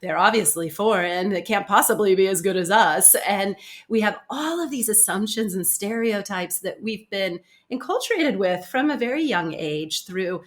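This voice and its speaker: American woman, interview guest; earnest, animated, emphatic conversational read. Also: medium pitch, normal speed, hardly any pauses. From United States. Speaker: guest, author and speaker.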